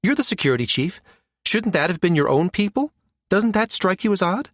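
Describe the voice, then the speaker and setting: Man voicing an insistent woman officer, raised pitch. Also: big pitch swings, fairly quick, no echo, clean studio sound. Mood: probing. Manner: questioning, pressing. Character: insistent, challenging woman navy officer in science fiction. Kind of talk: audiobook character dialogue.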